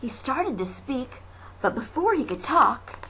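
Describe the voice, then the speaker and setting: Female amateur storybook reader: highish voice, unhurried dramatic verse narration, lightly noisy home recording. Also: sing-song, pushed and bright. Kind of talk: expressive children's verse narration. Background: faint ambient noise.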